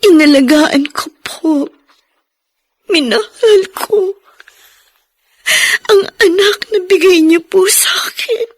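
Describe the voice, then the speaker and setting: Anguished young mother, very high tearful voice. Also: no echo. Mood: sobbing, pleading. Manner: tearful, pleading, halting delivery. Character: anguished young unwed mother praying for her sick child. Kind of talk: Filipino radio melodrama acting.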